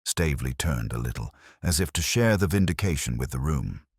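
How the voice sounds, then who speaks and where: A man's voice: rumbling low, lively intonation, brisk, breathy edge, dry recording, studio quality. A man reading scripted mystery fiction as a narrator, with a wry, literary storytelling manner.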